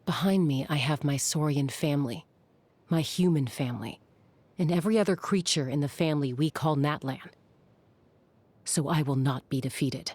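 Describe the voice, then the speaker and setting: A woman playing a tough, composed young warrior and peacemaker in a video game, earnest and dryly playful, with a low, husky voice. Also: normal speed, a little mellow, dry recording. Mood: calm, resolute. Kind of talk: scripted video game character voice lines.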